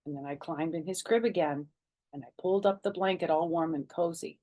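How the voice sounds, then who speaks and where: A woman with a slightly low voice reads a children's story aloud. Her delivery is expressive and animated, made for storytime, and she acts out the characters as she goes. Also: brisk.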